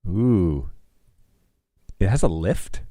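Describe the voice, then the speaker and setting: A middle-aged man with a fairly low voice talks at a very unhurried pace, as a livestream host would. He rambles informally, thinking aloud as he browses. The sound is very dry, with hardly any room in it. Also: lively intonation, warm tone, no room sound. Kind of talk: casual chat, thinking aloud while browsing.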